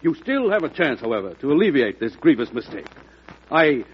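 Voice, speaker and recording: Guy doing an earnest pushy senator in an old radio play, formal speechy delivery, very animated, muffled recording. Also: mid-range voice, a little fast, a little hiss or hum, lightly acted. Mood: earnest pleading. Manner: oratorical, formal, urgent. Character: earnest, insistent senator pleading for justice. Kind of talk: old-time radio drama acting. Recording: dull vintage radio recording.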